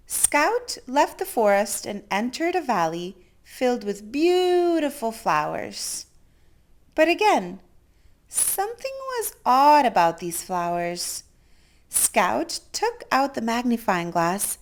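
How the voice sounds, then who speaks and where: Very slow, animated woman reading to children. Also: on the high side. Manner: theatrical, with gasps and drawn-out emphasis. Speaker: children's story reader. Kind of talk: expressive picture-book read-aloud.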